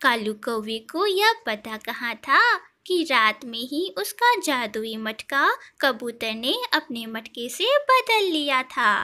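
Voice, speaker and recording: High, bright woman storyteller. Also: big pitch swings, takes it slowly, hardly any pauses. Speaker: narrator. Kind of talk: scripted children's story narration.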